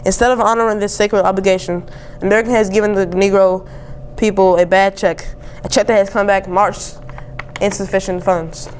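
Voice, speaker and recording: A woman's voice: mid-range voice, fairly even intonation, normal speed, slight background noise. A young teenage girl, a student, reading a formal historic speech aloud from a text. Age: young teen, 7th grade student. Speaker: student reader.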